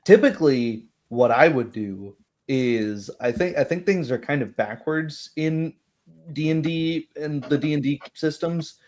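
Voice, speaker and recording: A man's voice: on the high side, a little slow, darkish tone. A man chatting casually in a group, explaining his thoughts on a tabletop game and often checking for agreement.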